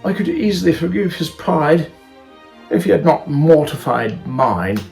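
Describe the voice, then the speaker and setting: A proud, spirited young lady of a storybook romance, put on by a male reader. The voice is lifted above his usual pitch, with swooping, emphatic and very formal delivery. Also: big pitch swings, measured pace, creaky, darkish tone, faint music behind, full character voice. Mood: wounded pride.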